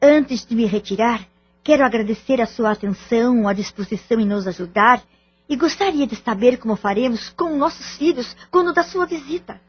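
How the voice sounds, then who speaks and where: A woman's voice: fairly high pitch, moderate pace, husky. A woman playing an anxious, polite married mother in an audio drama, speaking formal Brazilian Portuguese with careful, pleading delivery. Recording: dull lo-fi audio drama recording.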